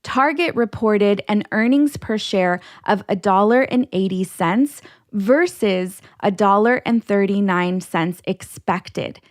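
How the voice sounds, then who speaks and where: A woman's voice: medium pitch, slow pace, no echo, clean studio sound. A woman hosting an educational podcast, explaining things step by step directly to her listeners. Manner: instructional, explains terms step by step. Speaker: podcast host, financial educator.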